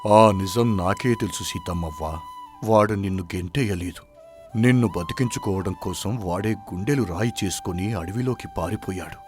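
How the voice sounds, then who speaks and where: Guy playing sad village healer in a moral story, solemn and earnest, lowish voice, soft music under it. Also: normal speed, natural voice. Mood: grave, sorrowful. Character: sorrowful village healer, grave bearer of hard truth. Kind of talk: melodramatic story acting.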